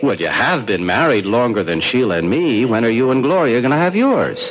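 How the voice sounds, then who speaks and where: A man's voice: mid-range voice, expressive, a little fast, slight background noise, natural voice. A man playing an affable writer and husband in a radio drama, with an easygoing, teasing manner. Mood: chummy, teasing. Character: warm, easygoing American writer and husband living in Ireland. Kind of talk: radio drama acting and narration. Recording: muffled vintage radio, music behind.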